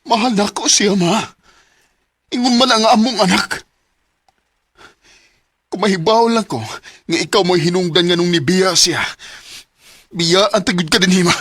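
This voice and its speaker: An anguished young husband in a radio drama with a Cebuano Filipino accent. His voice sits fairly high, bright and forceful, with a husky, rough edge. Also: unhurried, long pauses, no room sound, exaggerated voice. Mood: accusing, hurt.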